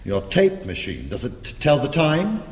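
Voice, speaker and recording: British radio drama, quick-witted defiant criminal, animated intonation, long echoing room. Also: male voice, mid-range voice. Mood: defiant, arguing. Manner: insistent, sardonic. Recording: echoey, reverberant church-like space.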